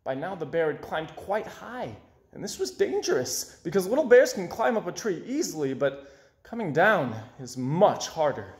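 A young man narrates a children's storybook aloud. His voice sits a little high and swings widely for dramatic emphasis, with roomy indoor echo around it. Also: big pitch swings, normal speed. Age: 20s. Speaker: storybook reader. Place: small indoor room with bookshelves.

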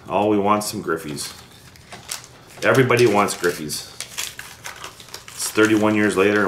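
A man's voice: on the low side, a bit bright. A middle-aged American man giving casual running commentary as he sorts through collectibles at a table, with playful asides. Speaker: vlogger opening vintage sports card packs. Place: indoors at a wooden table in a room. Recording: roomy echo, background hiss, handling clicks.

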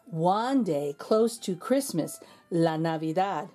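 A woman narrating a children's storybook in an expressive, deliberate storytelling manner. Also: lowish voice, faint music behind. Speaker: children's read-aloud host.

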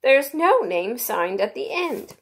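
Storybook knave, voiced by a woman: male prisoner, slow, deliberate, protesting innocence. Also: a little high, very animated, gravelly, breathy edge, voice pushed up, full character voice. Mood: pleading, protesting. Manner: slow, pleading. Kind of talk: storybook character reading.

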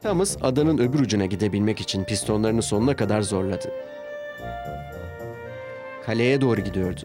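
A man's voice: on the low side, lively intonation, fairly quick. Male children's story narrator over music. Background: background music.

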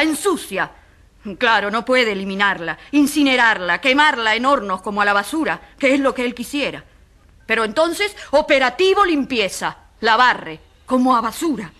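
A woman's voice: a little high, pushed and bright. An actress in a radio drama playing a passionate, indignant woman who defends the poor, speaking emphatically in repeated, building lists. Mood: indignant, impassioned.

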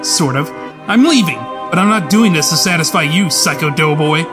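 Brooding, self-pitying young male killer, acted by a man, higher-pitched, intense comic-dub monologues over loud music. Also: animated, normal speed, lightly acted. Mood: weary, bitter. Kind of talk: dramatic comic-book dub. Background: music under the voice.

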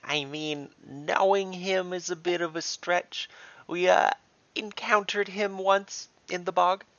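A man voicing a nervous, hesitant woman adventurer in a fantasy audio story, pitched up high. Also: fairly even intonation, slow, a bit bright, no echo, highs cut off, full character voice.

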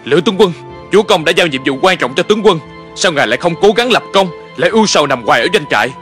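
A man's voice: fairly high pitch, lively intonation, fast, fairly bright tone, faint music behind. A man playing a clever, confident young officer in a dubbed historical cartoon, polite and persuasive.

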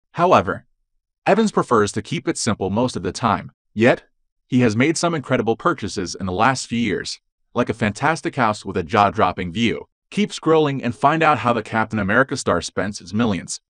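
Male narrator, scripted voice-over, lively pitch, dry close sound. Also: no echo. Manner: even read-aloud delivery with odd phrasing.

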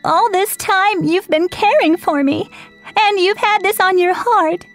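A woman's voice: extremely high pitch, measured pace, soft background music. A woman voicing a passionate, emotional young woman who speaks in a breathless, impassioned way in a storybook reading.

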